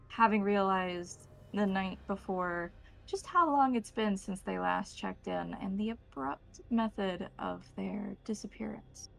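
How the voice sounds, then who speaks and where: Creaky-voiced American woman game master. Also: mid-range voice, low-quality recording. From United States. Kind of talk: narrating a tabletop roleplaying game.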